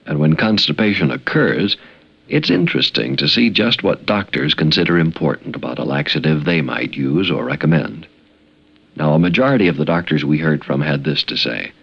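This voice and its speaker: Give me a 1950s commercial announcer, a man with a very low, creaky, lively voice and earnest delivery. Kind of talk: 1950s radio advertisement, scripted. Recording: dull 1950s radio recording.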